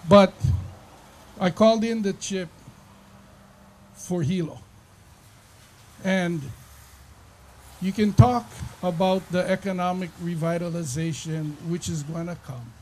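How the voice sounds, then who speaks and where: A man's voice: high-pitched, slow pace, pauses often, darkish tone, slight background noise. Impassioned man speaking at a podium. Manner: impassioned, emphatic, builds through lists. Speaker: state legislator giving a speech. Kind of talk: public speech at a bill signing.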